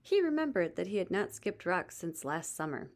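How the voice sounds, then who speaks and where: A woman reading a children's book aloud as expressive scripted narration. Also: fairly low pitch, normal speed. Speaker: storybook reader.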